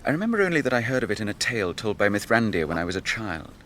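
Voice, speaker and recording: An actor playing a grave, noble young captain grieving his brother, in measured, archaic speech for a fantasy radio drama. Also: fairly low pitch, very animated, a bit bright, slight background noise. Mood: grave, probing.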